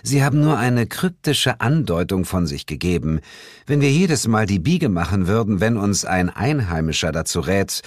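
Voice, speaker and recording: A man voicing a pert, argumentative young woman who is quick to retort, in an audiobook. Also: on the low side, expressive, no room sound, studio quality. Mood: dry correcting.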